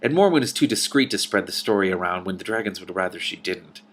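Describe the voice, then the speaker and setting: A storybook young woman, read by a man, frank and matter-of-fact with a worried streak. The pitch sits fairly low, and faint noise runs behind. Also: lively intonation, fast talker, a bit bright.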